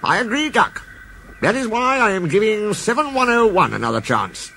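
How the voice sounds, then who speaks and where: A man voicing a kindly, authoritative older railway boss who speaks cheerfully and gravely by turns. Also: high voice, big pitch swings, normal speed, bright, forceful tone, a little hiss or hum, pitched above the performer's own voice, exaggerated voice.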